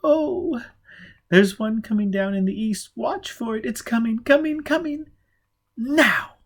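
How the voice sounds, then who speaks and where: A man putting on a rapt, mocking highborn lady. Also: unhurried, heavily acted. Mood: excited. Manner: high breathy whispers, dreamy and disdainful.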